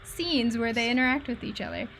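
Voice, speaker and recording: A woman's voice: fairly high pitch, expressive, a little fast, creaky, fairly bright tone, low-quality recording. A woman chatting casually and encouragingly over a video call. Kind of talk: casual chat.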